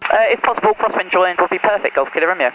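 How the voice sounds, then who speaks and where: British man, private pilot, quick speech, higher-than-average voice, headset, radio calls and flight chat. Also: animated, rough recording. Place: small aircraft cockpit in flight. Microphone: aviation headset. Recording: loud light-aircraft cockpit noise.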